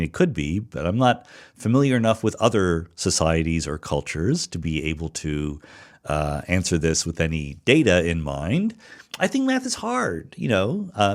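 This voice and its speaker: A middle-aged man hosting a solo podcast, answering listener questions about science in a conversational, thinking-aloud way with fillers and self-corrections. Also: deep voice.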